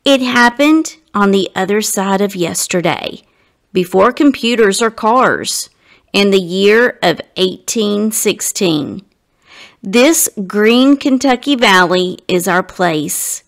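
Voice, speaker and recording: Female guest reader for children's storybook, unhurried, very lively intonation, speaks straight to young listeners. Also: medium pitch. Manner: deliberate phrasing, addressing young listeners directly. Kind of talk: expressive children's storybook narration.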